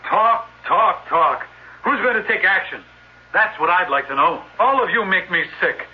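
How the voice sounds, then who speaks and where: An actor playing a headstrong, impatient young nephew in an old-time radio drama. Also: male voice, high voice, lively intonation, a little slow. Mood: impatient, agitated. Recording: muffled vintage radio recording, faint hiss.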